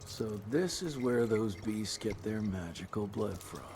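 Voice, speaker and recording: A man's voice: lowish voice, lively intonation, a little slow, darkish tone, slight background noise. An actor playing a blunt, profane male monster hunter in a video game cutscene, talking with dry humor.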